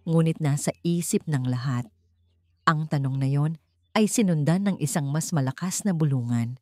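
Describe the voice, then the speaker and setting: A Filipino woman tells a dramatic scripted story in a low register. Her tone is dark and soft-edged, and her delivery is measured, with faint music underneath. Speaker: story narrator.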